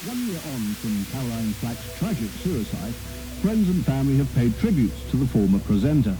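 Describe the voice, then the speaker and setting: A man's voice: mid-range voice, sing-song, moderate pace, close to an everyday voice, poor audio. A man reading a sober, scripted news report as a radio presenter. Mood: serious. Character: sober male news reader. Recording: music clearly behind the voice.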